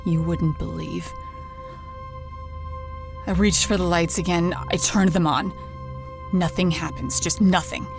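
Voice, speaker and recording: An actress playing a haunted woman in an audio drama, confiding a ghost story in an anxious, halting, repetitive way. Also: fairly low pitch, gravelly, over music. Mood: eerie, intent.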